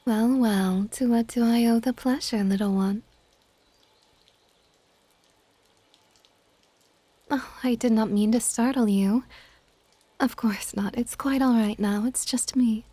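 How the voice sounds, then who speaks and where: A woman playing a possessive, affectionate dragon goddess in an ASMR audio roleplay, with a soft, whispery, teasing and intimate delivery. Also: fairly even intonation, no echo. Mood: teasing, welcoming. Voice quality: soft, breathy whispering mixed with low-volume speech. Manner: doting, teasing, unhurried.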